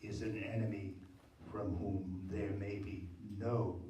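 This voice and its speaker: A man's voice: lowish voice, level tone, warm tone, slight background noise. A man playing a solemn, ominous radio announcer, speaking in a dramatic old-time radio style. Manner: slow, dramatic, portentous. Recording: roomy, distant mic, music behind.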